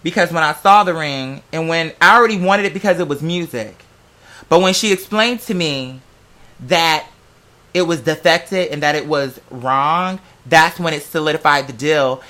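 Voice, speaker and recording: Male vlogger, bright forceful high voice, casual storyteller, slangy, profane and theatrical. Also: moderate pace.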